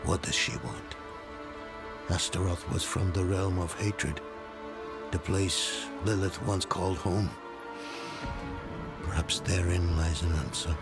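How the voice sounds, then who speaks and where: An actor playing a world-weary older nobleman and former demon slayer in a fantasy game, grave and measured. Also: low-pitched, fairly even intonation, creaky, loud music behind the voice, heavily acted. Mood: pondering. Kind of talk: dramatic fantasy game acting.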